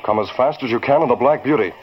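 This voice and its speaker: A man's voice: medium pitch, fast, natural voice. An actor playing an earnest, authoritative newspaperman hero in a 1940s radio drama, speaking in a decisive, well-spoken way. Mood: urgent, commanding. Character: earnest, authoritative male newspaperman, secret masked crimefighter. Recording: noisy, muffled old radio recording.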